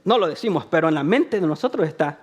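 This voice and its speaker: A man's voice: a little high, animated, fast, slightly rough. A man from El Salvador preaching a sermon, talking to the congregation in a conversational way, repeating phrases for emphasis and joking about himself. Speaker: pastor.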